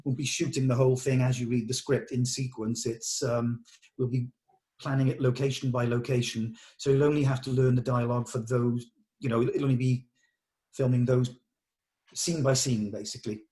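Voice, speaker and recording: A man's voice: mid-range voice, flat delivery, moderate pace, dark tone. A man talking casually as a director in a production meeting, explaining things in a considerate, hedging way. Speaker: film director leading a table read.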